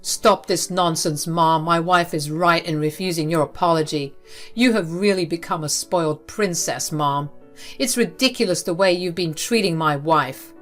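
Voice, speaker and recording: A woman's voice: lowish voice, moderate pace, soft background music, lightly acted. A woman voicing an indignant adult son who scolds bluntly. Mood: reproachful. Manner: blunt, reproachful.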